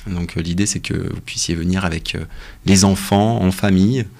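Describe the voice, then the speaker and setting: Young man with a deep, creaky voice. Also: fairly even intonation, a little mellow. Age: young adult. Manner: hesitant, halting delivery with frequent pauses. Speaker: interview guest, doctoral researcher. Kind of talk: casual radio interview, explaining research.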